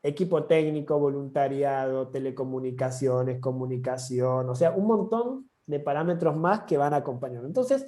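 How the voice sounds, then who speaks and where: A man's voice: mid-range voice, normal speed, few pauses, mellow. A man with an Argentine accent giving an online training session, talking informally with frequent restarts. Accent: Argentine Spanish. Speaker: course instructor.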